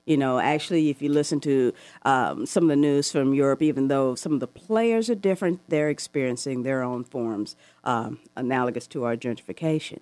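A woman hosting a call-in radio talk show, asking long, thoughtful questions with soft laughs. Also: very deep voice, fairly quick, few pauses, dry recording. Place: radio studio.

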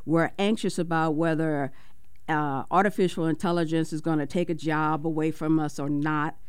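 Co-host on talk radio, smooth clear voice, dry close mic, earnest. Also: medium pitch, steady tone, moderate pace, no echo. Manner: earnest, impassioned, hesitant at times. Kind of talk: community radio talk show.

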